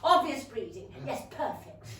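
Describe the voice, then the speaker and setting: A woman's voice: a little high, sing-song, unhurried, pushed and bright, heavily acted. An actress playing a flustered, scheming middle-aged Regency mother with an English accent in a comic staged reading. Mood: gushing, scheming. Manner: flustered, giggly, insinuating. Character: gushing, scheming matchmaking Regency mother of five. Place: small theatre stage. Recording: roomy theatre, distant mic, faint noise.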